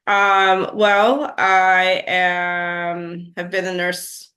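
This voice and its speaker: Woman in her 40s from guyana, bright clear voice, chatty storyteller w lots of ums. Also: fairly low pitch, little pitch movement, very slow. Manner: storytelling, warm laughs, many fillers. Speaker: guest nurse practitioner. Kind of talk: interview conversation.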